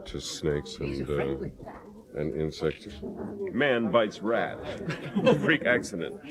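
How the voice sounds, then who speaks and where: A man jokes in an amused, unhurried way. His voice is deep and slow. A loud crowd fills the background. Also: sing-song. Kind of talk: interview recollection.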